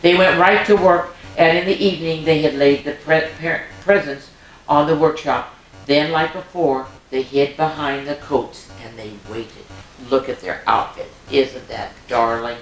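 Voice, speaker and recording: A woman's voice: low voice, unhurried. A woman hosting a children's storytime, reading a picture book aloud and adding homely, chatty asides for the young listeners. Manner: homely, chatty, exclaims and points things out to children. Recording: echoey room with background music.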